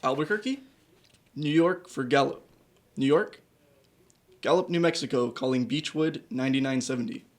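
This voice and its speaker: An actor playing a clipped, efficient long-distance telephone operator in a radio drama. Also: male voice, mid-range voice, moderate pace, long pauses, natural voice. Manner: terse, formal.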